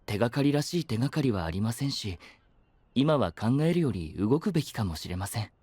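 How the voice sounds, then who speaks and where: A man's voice: medium pitch, sing-song, brisk, a little mellow, breathy edge, dry recording. An actor playing a polite, composed and analytical young man with a formal, courteous way of speaking in an anime audio drama. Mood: practical.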